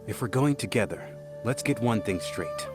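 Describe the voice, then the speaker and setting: An actor playing a gruff, domineering man in a video game, husky, curt and commanding. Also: fairly low pitch, expressive, normal speed, music in the background, lightly acted. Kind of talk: dramatic video game acting.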